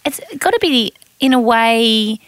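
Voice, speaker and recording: A young Australian woman co-hosting a podcast, an animated storyteller who says she has a loud voice. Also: a little high, unhurried, smooth, no echo. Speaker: podcast co-host, speaker and basketball coach. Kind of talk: casual chat.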